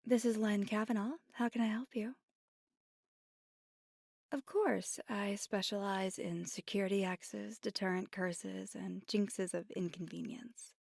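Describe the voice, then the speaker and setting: A woman plays a playful, affectionate witch girlfriend in an ASMR audio roleplay. Her voice is soft and breathy with a gravelly fry, often dropping toward a whisper. She takes her time as she confides in the listener, and the sound is dry and intimate. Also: mid-range voice, steady tone, unhurried, no echo. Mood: polite, businesslike.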